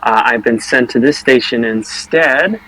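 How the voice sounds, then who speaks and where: A man with a husky, mid-pitched voice talks as a tabletop game player. He introduces a character in a casual, improvised style. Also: measured pace, pushed and bright, rough recording.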